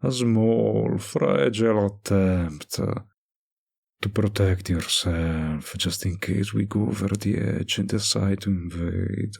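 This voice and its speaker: An ancient male vampire tending bar, played by a man in an intimate audio roleplay. His voice is low and husky, and he drawls extremely slowly. He teases the listener with a smug, predatory charm. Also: a little mellow, exaggerated voice. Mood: teasing, faintly menacing. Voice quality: breathy, gravelly low voice. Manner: slow, teasing drawl with long pauses. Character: centuries-old male vampire bartender, teasing, predatory, smugly charming.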